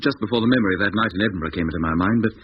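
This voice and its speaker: An actor playing an earnest, sometimes dazed moon expedition captain in a 1950s radio drama. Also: male voice, sits low, rapid-fire, close to an everyday voice. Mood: dazed, wondering. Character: rocket ship captain, dazed yet commanding male moon explorer. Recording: dull, hissy 1950s radio recording.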